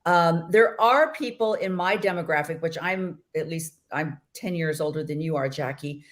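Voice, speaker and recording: A woman's voice: low voice, normal speed. A middle-aged woman hosting a casual interview over a video call, chatty and rambling. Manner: chatty, laughs easily, rambling. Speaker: host.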